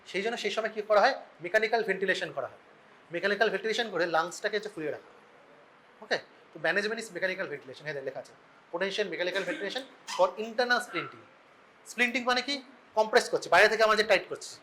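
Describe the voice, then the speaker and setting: A man's voice: high-pitched, bright, forceful tone, a little hiss or hum, poor audio. A man with a Bengali Indian accent giving an informal medical lecture in mixed language, asking and answering his own questions and often checking that students follow. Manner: explains through questions and answers, repeatedly checking understanding. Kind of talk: informal classroom lecture mixing Bengali and English.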